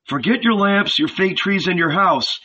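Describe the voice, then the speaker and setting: A man doing an excited, urgent shepherd disciple. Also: high voice, normal speed, slightly rough, voice pushed up, exaggerated voice. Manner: urgent, pleading, rising excitement. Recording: dull lo-fi recording.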